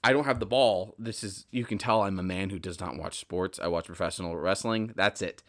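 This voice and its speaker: Guy with a lowish voice just chatting on his podcast, wanders off and backtracks a lot. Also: fairly quick, fairly bright tone. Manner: self-correcting, digressive, conversational. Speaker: podcast host. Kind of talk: casual rambling solo podcast talk.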